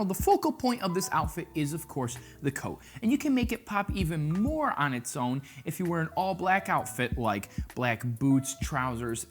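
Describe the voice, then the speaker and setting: A man presenting a casual fashion vlog, chatty and playful, with jokey asides. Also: on the high side. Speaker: fashion vlogger. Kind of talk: casual style-tips vlog.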